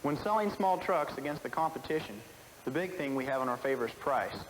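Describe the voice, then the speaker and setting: A man's voice: medium pitch, expressive, fairly quick, big-room sound, a little hiss or hum, poor audio. A man speaking as a salesman, giving a matter-of-fact scripted testimonial on a 1970s film soundtrack.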